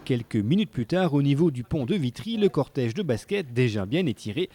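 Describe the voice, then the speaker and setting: A man's voice: medium pitch, sing-song, fairly quick, noisy background. A man narrating a scripted local TV report in a playful, tongue-in-cheek manner.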